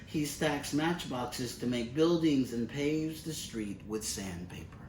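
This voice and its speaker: A man reading a children's storybook aloud expressively, in measured, deliberate phrases with pauses. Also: medium pitch, darkish tone. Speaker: picture book reader. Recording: roomy, distant mic with faint noise.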